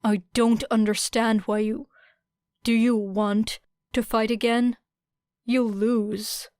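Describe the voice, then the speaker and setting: Woman playing a composed, blunt, slow-talking teenage boy. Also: a little high, little pitch movement, darkish tone, no room sound, studio quality. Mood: challenging. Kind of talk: audiobook character voice.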